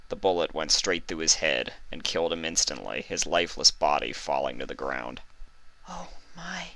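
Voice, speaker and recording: A man with a deep voice narrates a piece of fan fiction. His tone has a bright, forceful edge. The sound is close and very dry, as scripted reading. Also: a little fast, no room sound.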